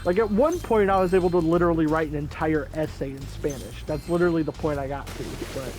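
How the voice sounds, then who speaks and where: A man's voice: fairly high pitch, quick pace, a little mellow. A young man hosting a gaming video, rambling in casual commentary full of "like" fillers. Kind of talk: casual let's-play commentary. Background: faint game music.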